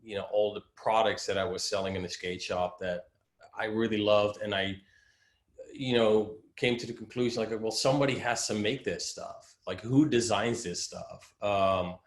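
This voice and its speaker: A man's voice: lowish voice, steady tone, moderate pace, reverberant. A man in his forties, a creative director casually telling his story in an interview, with many fillers and restarts. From Netherlands.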